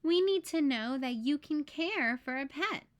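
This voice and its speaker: A woman voicing cautious, patient parents in a children's picture book, in a measured, questioning way. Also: high voice, lively intonation. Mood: noncommittal.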